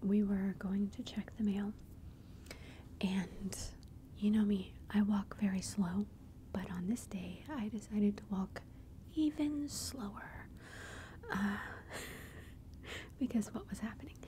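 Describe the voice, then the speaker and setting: A woman telling a personal story in a soft, chatty whisper, ASMR style. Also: mid-range voice, steady tone, takes it slowly, long pauses, slight background noise. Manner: soft unvoiced whisper throughout; chatty, conversational asides.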